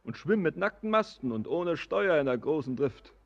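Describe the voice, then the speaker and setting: An actor playing a melancholy, brooding sailor who declaims in a solemn, poetic, visionary way in a German radio drama. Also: male voice, a little high, sing-song, moderate pace, highs cut off. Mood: bitter, brooding. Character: melancholy, brooding male sailor, estranged from life, longing for earth.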